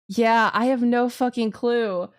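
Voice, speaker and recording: A woman streamer chatting casually through a game, expressive and giggly, often swearing and interrupting herself. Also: on the high side. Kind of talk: live visual novel playthrough commentary, casual chat.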